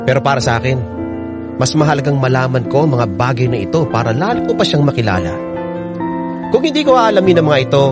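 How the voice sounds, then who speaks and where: A man reading a listener's letter as scripted, dramatic first-person narration on a radio drama program. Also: medium pitch. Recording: loud music bed under the voice. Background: loud music.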